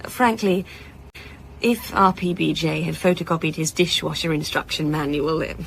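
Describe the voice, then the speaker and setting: Casting: publishing executive, female, gently deep voice, dry office humour, conversational audio-drama delivery. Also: fairly even intonation, moderate pace, gravelly, a little hiss or hum, poor audio. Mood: candid, dry. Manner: wry, hesitant, conversational. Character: chatty, wry female publishing executive, candid and joking. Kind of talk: naturalistic radio drama acting.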